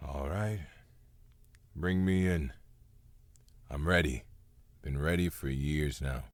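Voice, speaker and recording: An actor playing a bitter, broken middle-aged male prisoner, defiant and anguished, with a husky voice and grave dramatic delivery. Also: a bass voice, slow, no room sound, studio quality. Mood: weary defiance. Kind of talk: audio drama acting.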